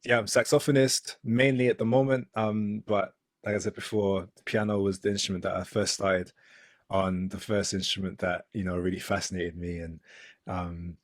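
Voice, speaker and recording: A man's voice: low-pitched, flat delivery, moderate pace, no room sound, clean studio sound. A man in his thirties with a London accent, a musician and author talking thoughtfully as an interview guest, with frequent ums and restarts. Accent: London English. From London, England. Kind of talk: relaxed conversational interview.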